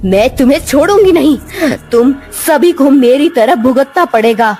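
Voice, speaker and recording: A woman's voice: sits high, expressive, moderate pace, darkish tone, pitched above the performer's own voice. A vengeful she-serpent spirit, acted by a woman from a cartoon tale. She is menacing and defiant, speaking her threats with heavy drama over music. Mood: desperate, defiant.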